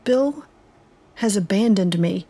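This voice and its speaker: An actress playing a discontented, sardonic housewife and musician in an audio drama, with a wry delivery. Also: female voice, medium pitch, expressive, takes it slowly, mellow. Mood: hurt, exasperated.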